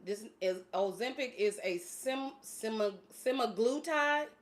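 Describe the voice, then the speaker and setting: A woman's voice: fairly high pitch, expressive, a little slow. A woman in her late forties hosting a casual livestream, chatting loosely with frequent fillers and laughs. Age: 40s. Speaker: fitness channel host.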